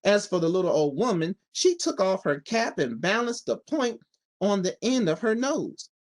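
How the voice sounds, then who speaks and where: A man reading a children's story aloud in an expressive, animated narrating style. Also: sits high, normal speed. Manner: animated, changes voice for each character.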